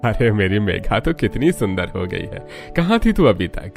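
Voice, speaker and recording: A man's voice: on the low side, very animated, normal speed, creaky. A man playing an affectionate, hearty father in a cartoon family story. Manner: hearty, doting. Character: affectionate, jolly father returning home to his children. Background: music.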